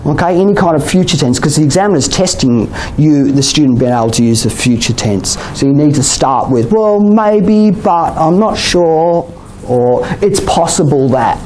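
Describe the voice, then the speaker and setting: A man with an Australian accent giving a relaxed exam-prep lecture to a class, often checking in with "okay?" and "you know?". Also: fairly high pitch, normal speed, a little hiss or hum, poor audio. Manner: chatty, many "okay?" and "you know?" tags, laughs often. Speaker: IELTS teacher.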